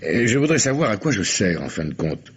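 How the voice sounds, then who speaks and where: Animated, husky undercover barman. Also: fairly low pitch, a little fast, full character voice. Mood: frustrated. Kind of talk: French radio crime drama acting. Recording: dull vintage radio recording.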